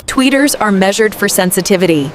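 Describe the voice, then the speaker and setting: A woman reads scripted technical narration at a middle pitch. Clear background noise sits behind her voice throughout. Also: lively intonation, a little fast.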